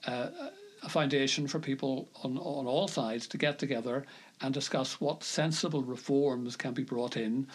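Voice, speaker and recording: A man who is a law professor, answering interview questions in a measured, hesitant way and often repeating words. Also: medium pitch, husky. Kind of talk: podcast interview.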